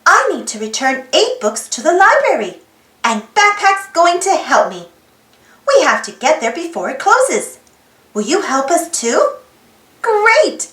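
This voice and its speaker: A woman reading a children's picture book aloud in an animated way, putting questions to young listeners. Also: very high voice, measured pace, reverberant. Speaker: storybook read-aloud host.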